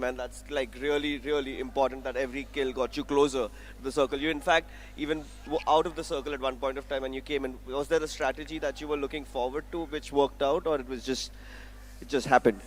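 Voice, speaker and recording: A man's voice: mid-range voice, fairly even intonation, fairly quick, slight background noise. A man interviewing a winning player on stage at an esports event, upbeat and congratulatory. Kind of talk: post-match esports interview.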